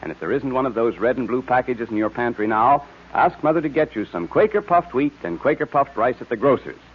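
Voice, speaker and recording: A man announcing and pitching for a sponsor on 1940s radio in a hearty, emphatic way. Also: fairly quick, husky. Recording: muffled vintage radio recording.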